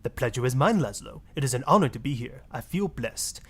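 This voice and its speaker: Suave, sleazy Spanish-accented guru. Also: male voice, medium pitch, lively intonation, fairly quick, breathy edge. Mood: oily, reverent. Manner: florid, seductive, pompous. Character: suave, sleazy Latin male marriage guru, grandly passionate. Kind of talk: satirical video game radio acting.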